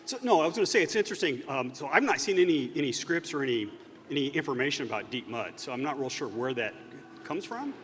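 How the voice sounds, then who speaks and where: A man telling a story off the cuff as a panelist at a live fan panel, speaking in a halting, thoughtful way. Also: medium pitch, expressive, a bit bright. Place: large convention stage. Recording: echoey hall PA with faint noise.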